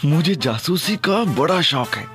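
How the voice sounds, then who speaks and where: A man's voice: on the high side, animated, unhurried, sharp tone, faint music behind. A man narrating an audio drama in first person and playing a cheeky, mock-innocent young hero.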